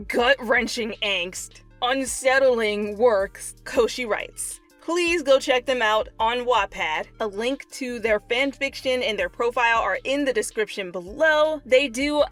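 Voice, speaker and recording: A woman's voice: mid-range voice, fairly bright tone. A woman hosting a fan fiction channel, moving between story narration and playful casual chat. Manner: goofy, playful, slangy in asides.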